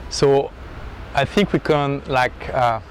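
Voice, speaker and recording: A man's voice: medium pitch, steady tone, slow pace, crowd noise, low-quality recording. A man interviewing a guest, summing up the answers and encouraging him in non-native English. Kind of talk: interview chat.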